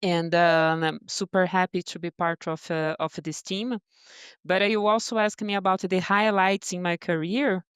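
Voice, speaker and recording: A woman from Brazil with a Brazilian accent, answering interview questions with many pauses, fillers and restarts. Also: on the low side, fairly even intonation, smooth, no echo, clean studio sound. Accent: Brazilian Portuguese. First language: Portuguese. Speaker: guest, brand partnerships director.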